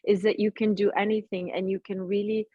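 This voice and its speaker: A middle-aged woman who grew up in Italy, speaking English as a guest actress in a casual interview, talking in animated bursts and often restarting her sentences. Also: medium pitch, brisk, husky, no echo, lo-fi sound. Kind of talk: casual interview over a call.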